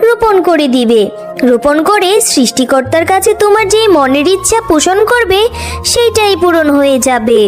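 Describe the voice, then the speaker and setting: A woman voicing a kindly, magical flower queen with a gentle, reassuring manner in a fairy-tale cartoon. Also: very high voice, big pitch swings, normal speed. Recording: music under the voice.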